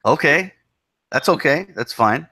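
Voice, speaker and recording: A man hosting a casual online group chat, blunt and quick with short interjections. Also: a little high, expressive, sharp tone, breathy edge, dry recording, clean studio sound. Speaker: host.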